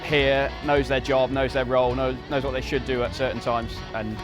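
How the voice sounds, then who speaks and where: A man on a team crew calmly describing his work in a matter-of-fact way. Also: mid-range voice, normal speed, music in the background. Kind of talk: interview answers.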